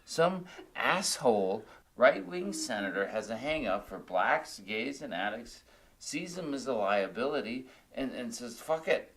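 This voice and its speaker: Drunk bitter widower, film drama, rambling, combative, highly animated pitch. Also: male voice, measured pace. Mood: ranting, conspiratorial. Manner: rambling, combative, stammering venting. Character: drunk, bitter, grieving widowed psychologist, ranting. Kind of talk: naturalistic film drama dialogue.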